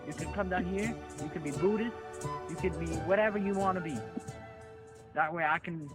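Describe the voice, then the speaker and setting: A man playing an earnest, over-emotional colonist preacher in an amateur skit, speaking in a halting, fervent way. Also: fairly high pitch, natural voice, poor audio. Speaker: testimonial character. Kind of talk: amateur comedic skit testimonial.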